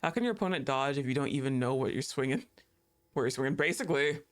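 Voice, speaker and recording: A man streaming a game live, reacting loudly, swearing, laughing often and talking to the game. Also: medium pitch. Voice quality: excitable, profane, quick to laugh, talks to the game. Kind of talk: live gameplay commentary.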